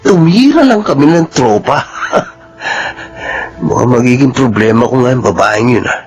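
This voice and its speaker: A man's voice: medium pitch, animated, a little slow. A man acting as a spoiled, hot-tempered employer in his twenties, brash and petulant, in a Filipino radio drama. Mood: resentful, scheming. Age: 20s. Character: spoiled, hot-tempered drug-using young male employer.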